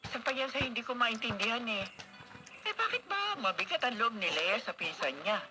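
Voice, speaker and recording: A woman's voice: fairly high pitch, sing-song, fairly quick, music in the background. An actress playing a sensible, fair-minded middle-aged mother in a radio drama, motherly and easily exasperated. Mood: troubled. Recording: noisy radio drama broadcast.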